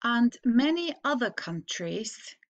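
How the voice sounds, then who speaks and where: Norwegian teacher reading slowly, higher voice. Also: female voice, very animated. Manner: slow, clearly phrased read-aloud with pauses. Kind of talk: slow read-aloud for learners to repeat.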